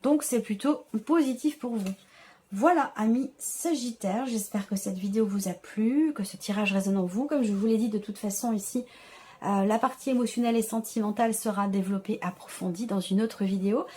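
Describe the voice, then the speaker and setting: A woman's voice: medium pitch, fairly quick, mellow, a little hiss or hum. A woman giving a conversational tarot reading to her viewers, reassuring them and often circling back over her phrases. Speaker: tarot reader, channel host.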